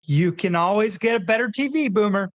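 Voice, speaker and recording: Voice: mid-range voice, lively intonation, fairly quick, no room sound, muffled recording, natural voice. An actor playing a sarcastic, know-it-all film critic in their twenties in a scripted comedy radio skit. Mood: exasperated. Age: 20s. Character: snarky, earnest twenty-year-old Zoomer film critic.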